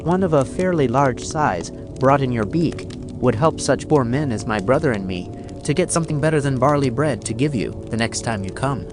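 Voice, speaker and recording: A man's voice: mid-range voice, expressive, normal speed, music in the background, lightly acted. A man voicing a poor, greedy and scornful shoemaker in a fairy tale, with wheedling, old-fashioned formal speech. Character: poor shoemaker brother, male, greedy, scornful, gold-hungry.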